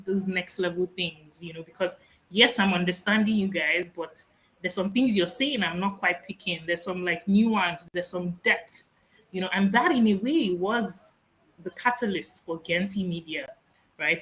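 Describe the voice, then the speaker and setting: A woman's voice: on the low side, moderate pace, fairly bright tone, slight background noise, poor audio. A woman hosting a podcast interview, from Nigeria with Igbo as her first language, talking reflectively and laughing often. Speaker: podcast host. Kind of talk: conversational interview.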